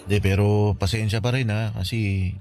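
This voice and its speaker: A man's voice: lowish voice, fairly even intonation, normal speed, darkish tone, lightly acted. A man acting a remorseful young friend in a radio drama, speaking hesitantly and apologetically, over background music. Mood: apologetic, hesitant.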